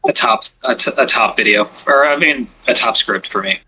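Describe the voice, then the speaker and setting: A man's voice: medium pitch, moderate pace, pushed and bright, husky, low-quality recording. A man who writes ASMR scripts, chatting casually on a podcast with many fillers and restarts.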